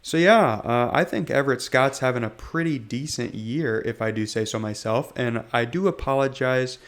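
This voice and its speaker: Raspy, rambling young man. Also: mid-range voice, moderate pace. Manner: rambling, hesitant, lots of ums. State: hoarse from illness. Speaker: gaming channel host. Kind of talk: casual gameplay commentary.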